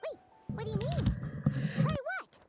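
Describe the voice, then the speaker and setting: A woman voicing a squeaky, excitable little fairy companion in scripted game dialogue. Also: unhurried. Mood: alarmed. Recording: loud game music behind. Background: game music.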